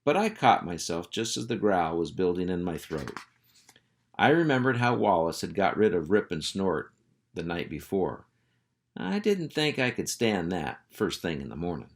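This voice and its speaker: Guy reading a kids book out loud, lowish voice, really up and down, folksy. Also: normal speed. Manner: folksy, expressive storyteller. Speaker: children's book reader, school superintendent. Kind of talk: animated storybook reading.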